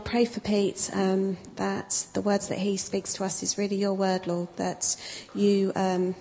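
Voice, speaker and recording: A woman saying a halting spoken prayer in church, with many pauses and fillers. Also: medium pitch, creaky, warm tone. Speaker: congregation member. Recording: hall reverb, faint noise.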